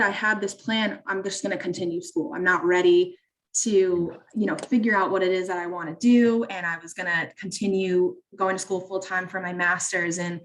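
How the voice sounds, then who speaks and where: A woman's voice: medium pitch, a bit bright. Young woman, a bit far from the mic. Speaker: panelist, threat detection analyst. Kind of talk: panel discussion. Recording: distant from the mic.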